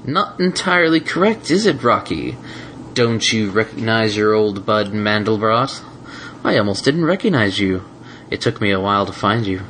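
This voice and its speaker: A man voicing Mandelbrot, a cruel male unicorn thug who taunts in slow, sneering tones. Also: lowish voice, lively intonation, a bit bright, a little hiss or hum. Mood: taunting.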